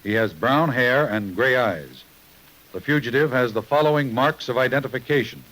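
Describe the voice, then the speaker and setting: A man's voice: mid-range voice, expressive, moderate pace, a bit bright, poor audio. A man reading a scripted crime bulletin in a formal, emphatic 1950s radio manner on a vintage broadcast recording.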